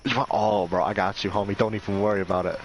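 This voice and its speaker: A young man from Michigan chatting excitedly in a voice chat, full of slang and quick to hype the other speaker up. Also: on the low side, a little hiss or hum, low-quality recording. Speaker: gamer in voice chat. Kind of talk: casual chat.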